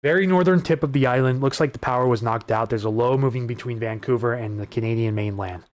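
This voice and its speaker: A man hosting a live weather stream, talking through wind data and viewer questions in a casual, conversational way, often restarting his sentences, his voice starting to go hoarse. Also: medium pitch, quick pace, a little mellow. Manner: conversational, repeats words and restarts while reading data. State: voice getting hoarse. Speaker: weather livestream host.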